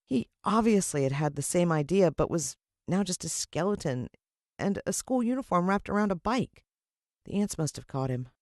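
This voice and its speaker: A woman's voice: fairly low pitch, lively intonation, moderate pace, a little mellow, no echo, clean studio sound. A woman with an American accent reading a children's story expressively as an audiobook narrator.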